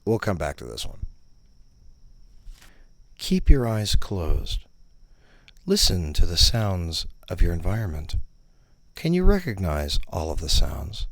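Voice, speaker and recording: A man with a very low voice leads a mock guided meditation at a slow, drawn-out pace. His delivery is deadpan and condescending, yet his pitch swings widely as he gives each instruction. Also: vocal fry, dry recording. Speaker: narrator of a parody guided meditation. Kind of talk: scripted parody guided meditation narration.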